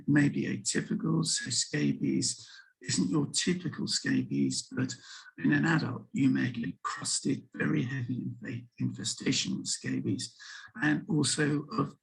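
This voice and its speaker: A man in his sixties with a husky voice from a sore throat, giving a measured online medical lecture over a video call as a visiting HIV physician. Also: a little high, fairly even intonation, rough recording. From England. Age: 60s. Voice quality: gravelly, breathy. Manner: measured, explanatory, with frequent ums. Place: home room with empty shelves and packing boxes.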